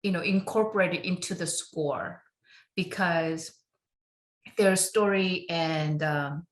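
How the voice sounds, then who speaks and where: A woman from Korea, a film composer, talking through her story in an unhurried podcast interview, with fillers and frequent laughs. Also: on the low side, roomy sound. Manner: unhurried, chatty, laughs often, many fillers.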